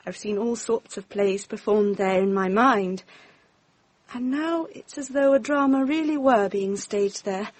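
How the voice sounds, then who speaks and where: A woman's voice: mid-range voice, measured pace, a little mellow, dry recording, lightly acted. An actress playing a flighty, theatrical young society woman with an English accent in a radio drama. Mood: wistful, dramatic.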